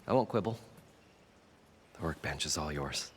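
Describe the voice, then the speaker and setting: An actor playing an eager, chatty salvager in a video game cutscene. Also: male voice, very deep voice, animated, normal speed, noisy background. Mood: friendly. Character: eager older male salvager, folksy and chatty.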